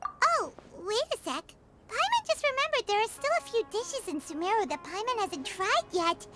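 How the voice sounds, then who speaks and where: A woman's voice: very high-pitched, very animated, moderate pace. A woman voicing a tiny, chirpy, childlike fairy companion in a video game, talkative and exclamatory. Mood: cheerful. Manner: refers to self in third person, exclamatory. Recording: background music.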